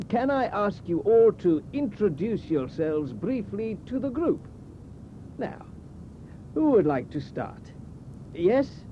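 A South African tour guide. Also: male voice, sits high, slow pace, pauses often, exaggerated voice. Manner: relaxed, welcoming, with fillers. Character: friendly male expedition guide, adult. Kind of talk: scripted language-course dialogue. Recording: dull cassette tape with hiss.

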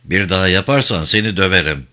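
A man playing a courteous but stern gentleman prisoner in a novel, formal, measured and admonishing. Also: sits low, animated, voice pushed down.

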